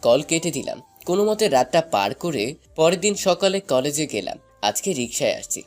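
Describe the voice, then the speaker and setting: A young man narrating a scripted first-person love story in an expressive, dramatized way. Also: a little high, moderate pace. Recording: music bed under the voice. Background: background music.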